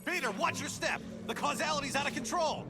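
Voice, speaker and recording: Urgent high-pitched superhero. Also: male voice, brisk. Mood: tense, insistent. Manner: urgent, commanding, exasperated. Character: serious, bossy young male futuristic superhero. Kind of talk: video game voice acting. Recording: loud music underneath.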